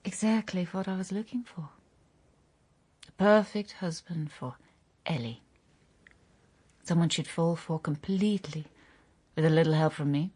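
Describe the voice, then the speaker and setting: A husky, seductive schemer. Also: female voice, fairly low pitch, a little slow, long pauses, darkish tone. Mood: sly, calculating. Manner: sultry, teasing, controlled. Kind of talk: radio drama dialogue.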